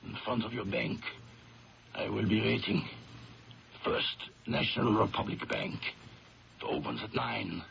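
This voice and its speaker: A gravelly, menacing blackmailer. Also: male voice, on the low side, fairly even intonation, fairly bright tone, exaggerated voice. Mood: cold menace. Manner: stilted foreign phrasing, oily politeness, deliberate. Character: menacing male blackmailer, falsely polite and coolly insistent. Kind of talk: 1970s radio drama acting. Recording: noisy, dull old radio broadcast recording.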